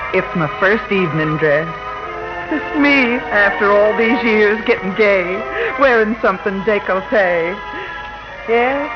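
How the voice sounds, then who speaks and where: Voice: medium pitch, close to an everyday voice. A performer playing a delighted, chatty country woman in a 1940s radio drama. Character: country woman delighted with her first evening dress. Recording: dull 1940 radio recording. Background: loud music.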